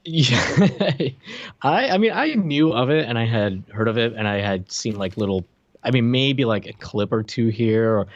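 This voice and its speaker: Guy in his 30s chatting on a podcast, teasing jokes, close dry mic, mid pitch. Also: lively intonation, measured pace, dry recording, highs cut off. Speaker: podcast co-host. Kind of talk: casual chat. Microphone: close desk microphone, video call.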